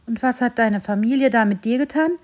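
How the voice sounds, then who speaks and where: A woman voicing a small, kind and calm fir tree that gently talks a friend round, in a children's story. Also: a little high, a little fast, voice pushed up, close to an everyday voice.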